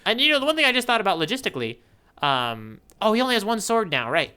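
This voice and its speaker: Bright, clear-voiced man chatting casually. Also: sits high, big pitch swings, fast. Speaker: podcast co-host. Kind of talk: casual manga discussion.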